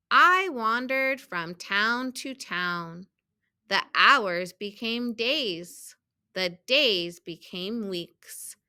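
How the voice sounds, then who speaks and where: A woman as an earnest, bright-voiced storybook egg. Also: medium pitch, clean tone, full character voice. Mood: reflective. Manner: expressive, deliberate read-aloud delivery with emphatic exclamations. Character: earnest, helpful, overburdened egg telling its own story. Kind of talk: children's picture book storytelling.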